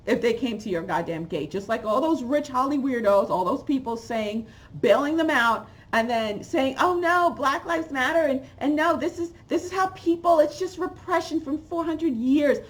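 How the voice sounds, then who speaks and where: New lady in her 40s ranting at her webcam at home, kinda high voice, gets loud then drops to mutters. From Haiti, raised in New York. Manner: animated, swings from loud exclamations to low asides. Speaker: channel host. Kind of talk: opinionated vlog rant. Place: home room with bookshelves, indoors. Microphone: webcam at close range.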